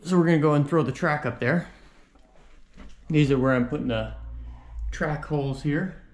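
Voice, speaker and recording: A man's voice: fairly high pitch, normal speed. Young man narrating a handyman job. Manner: explains while working, relaxed and chatty. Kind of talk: casual work narration. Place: small indoor bedroom.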